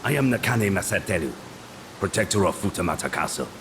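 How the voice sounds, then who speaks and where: Game role: honourable castle protector, humbled and solemn, deep voice against rumbling noise. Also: male voice, expressive, a little fast. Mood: grateful, solemn. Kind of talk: video game cutscene acting. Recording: noisy rumble behind the voice.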